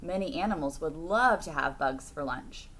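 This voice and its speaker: A woman's voice: low voice, expressive, moderate pace, a bit bright. A woman reading a picture book aloud to children like a teacher, phrasing expressively and putting questions to her young listeners.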